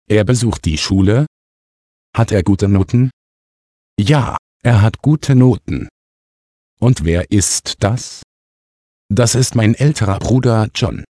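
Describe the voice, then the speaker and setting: A man narrating a language lesson, reading scripted dialogue in short, deliberate, measured sentences. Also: fairly low pitch, darkish tone, no room sound, clean studio sound.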